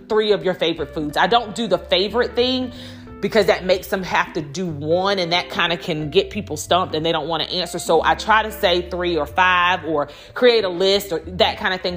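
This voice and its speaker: A woman's voice: lowish voice, a bit bright. An American woman hosting a podcast in a conversational, reflective way, speaking directly to listeners. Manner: reflective, addresses listeners directly with rhetorical questions. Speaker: podcast host and school instructional coach. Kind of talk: conversational solo podcast monologue.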